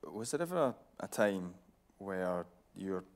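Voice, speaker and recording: A man interviewing someone on stage, putting probing questions in a measured way and building them up in rephrased steps. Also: low-pitched, very animated. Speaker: interviewer, presenter, reporter. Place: theatre stage at a broadcast building.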